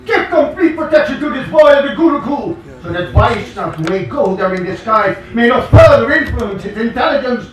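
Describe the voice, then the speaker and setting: An actor playing a pompous, tyrannical demon king father in a theatrical stage reading, given to explosive shouting. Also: very high-pitched, lively intonation, moderate pace, hardly any pauses. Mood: suspicious, commanding. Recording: very echoey hall, far from mic.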